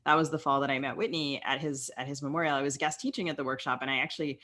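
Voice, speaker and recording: A woman's voice: rumbling low, fairly even intonation, rapid-fire, fairly bright tone. An American woman co-hosting a podcast in casual, anecdotal chat, reminiscing and acting out bits of remembered dialogue. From Maryland, United States. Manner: conversational, anecdotal, quotes dialogue with "like". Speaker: podcast co-host.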